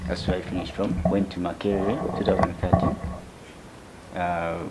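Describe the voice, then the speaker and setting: A man in his twenties from Uganda, answering interview questions slowly and hesitantly, soft-spoken. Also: low-pitched, little pitch movement, very noisy. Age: 20s. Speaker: young tech founder, interview guest.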